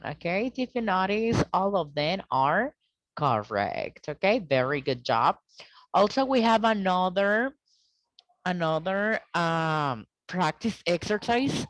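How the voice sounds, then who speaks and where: A woman teaching an English grammar lesson over a video call, explaining slowly and checking in with "okay?" often. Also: deep voice, no room sound. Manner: slow, deliberate explaining with frequent "okay?" checks.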